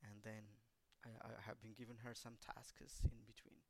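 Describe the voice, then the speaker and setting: A man, a choreographer and dancer, telling an interviewer about a creative project, speaking haltingly and searching for words. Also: lowish voice, flat delivery, gravelly, warm tone.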